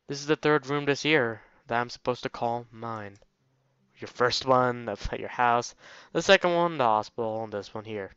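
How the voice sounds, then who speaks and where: A man's voice: mid-range voice, a bit bright, clean tone, no room sound, dull audio. A man hosting a let's play, reading a visual novel aloud informally, with jokey asides and stumbles over words.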